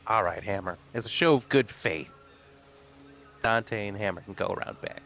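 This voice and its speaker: A man's voice: lowish voice, moderate pace, gravelly, fairly bright tone, faint music behind. A man playing a tabletop roleplaying game, talking casually in character with a dry, wry manner.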